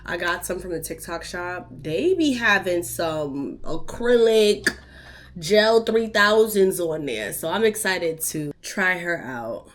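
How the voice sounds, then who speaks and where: A young woman vlogging from her living room, her voice sitting a little low and sounding stuffed up from a cold. She talks to a tripod camera in a loose, slangy, playful way, tossing asides to her viewers. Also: animated, slow. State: stuffed-up nose. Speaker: vlogger and podcast host. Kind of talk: casual day-in-the-life vlog chat. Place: apartment living room, indoors.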